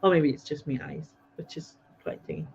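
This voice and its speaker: A woman's voice: sits low, very animated, brisk, a little mellow, dull audio, slight background noise. Chatty North East English woman. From North East England. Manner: chatty, rambling, many fillers. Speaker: podcast host. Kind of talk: casual chat.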